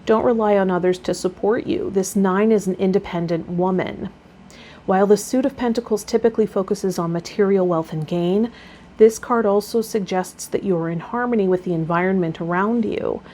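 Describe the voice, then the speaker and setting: A woman hosting a tarot vlog, talking in a gentle, encouraging way as she reads and explains. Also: mid-range voice, moderate pace. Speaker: tarot reader and channel host. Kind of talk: reading aloud and casual spiritual vlog talk.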